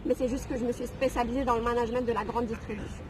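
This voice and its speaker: A woman's voice: a little high, fairly even intonation, racing, crowd noise. A woman in her thirties from Algeria, telling her story in a casual interview with frequent fillers. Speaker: guest. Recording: noisy background.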